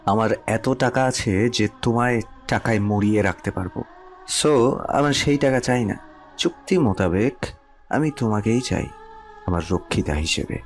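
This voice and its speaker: A man's voice: lowish voice, moderate pace, natural voice. A man playing a cold, domineering rich man who bullies his mistress, speaking in curt commands in a romantic audio story. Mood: menacing. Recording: music under the voice.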